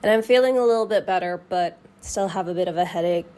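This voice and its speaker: A young woman chatting casually to camera in a vlog, nasal and hoarse from a cold, thinking aloud with fillers. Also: lowish voice, fast, darkish tone. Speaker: knitting vlogger.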